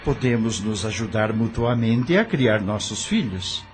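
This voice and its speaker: A man's voice: fairly low pitch, expressive, measured pace, over music. An actor playing an earnest, resolute widowed father in an audio drama, speaking formally. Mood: earnest, tender.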